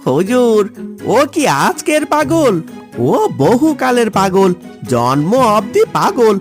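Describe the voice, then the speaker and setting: A woman's voice: a little high, very animated, takes it slowly, soft background music. Woman acting wily village lawyer, wildly swooping voice. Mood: indignant pleading. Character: wily, scolding village lawyer, male, pleading loudly. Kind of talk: cartoon moral-story dialogue.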